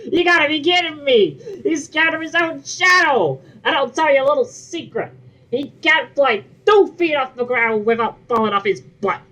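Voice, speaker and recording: Woman voicing a male dragonfly sidekick, sarcastic, cheeky, bright, pitched up, cartoon reading, music behind. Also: expressive, low-quality recording. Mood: mocking. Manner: sarcastic, mocking, quick quips. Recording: music underneath.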